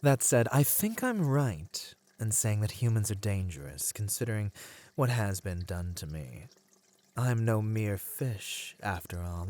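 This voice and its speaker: A man playing a captive merman in an ASMR roleplay, charming, plaintive and slyly persuasive, speaking gently in formal, wistful phrases. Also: lowish voice.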